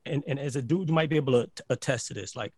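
A man's voice: medium pitch, racing, breathy edge, no echo, studio quality. A man from Barbados, a podcast guest, telling stories in casual chat with lots of restarts and "like". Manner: animated storyteller, repeats words and says "like" often.

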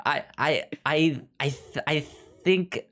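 A man's voice: fairly high pitch, takes it slowly. A man hosting a podcast in casual, jokey chat, often stammering and repeating words as he talks. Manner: animated, jokey, chatty. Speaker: podcast host.

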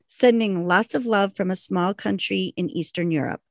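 A woman's voice: medium pitch, normal speed, gravelly, darkish tone, no echo, studio quality. A woman co-hosting a podcast in casual chat, reading out a listener's email and joking with her co-host. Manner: laid-back, laughing, self-teasing.

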